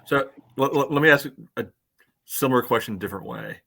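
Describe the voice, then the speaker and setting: A man co-hosting a sports podcast, asking questions in a measured way. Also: medium pitch, expressive, a bit bright. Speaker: podcast co-host. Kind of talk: casual chat.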